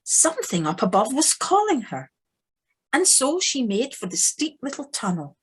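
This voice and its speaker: A woman's voice: high voice, sing-song, moderate pace, husky, rough recording. A woman narrating a children's story dramatically, as in a storybook audio play. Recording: home video-call recording.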